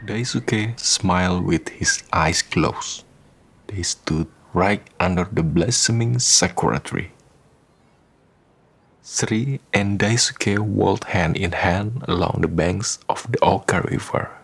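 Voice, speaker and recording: A man's voice: deep voice, slow, vocal fry, a bit bright, slightly rough. A man reading scripted narration for a radio drama. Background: music.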